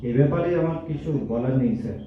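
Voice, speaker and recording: A man's voice: medium pitch, quick pace, close to an everyday voice. A man playing an earnest, principled young accountant in an amateur stage audio drama, deferential yet impassioned as he makes his case. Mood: respectful, restrained. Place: multipurpose hall stage. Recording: distant echoey hall, dull, faint noise.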